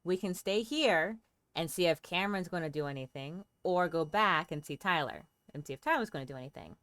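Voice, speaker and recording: A woman's voice: fairly low pitch, expressive, a little fast, fairly bright tone, no room sound. A woman chatting playfully and thinking aloud as she comments on a game for a livestream. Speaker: game streamer.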